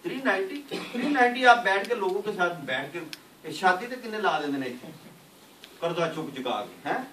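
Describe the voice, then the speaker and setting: A man's voice: sits high, racing. A man presenting a business opportunity to a hotel audience, firing off rhetorical questions. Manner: animated, fires rhetorical questions. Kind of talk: sales pitch to an audience. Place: meeting room in a hotel. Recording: echoey hall, distant mic, faint noise.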